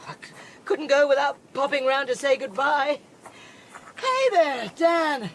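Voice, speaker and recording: An actress playing a dying young woman in a radio drama, weak and halting but wryly defiant. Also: sits high, animated, a little hiss or hum. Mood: resigned, defiant. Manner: wry, halting. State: weak, struggling to keep going.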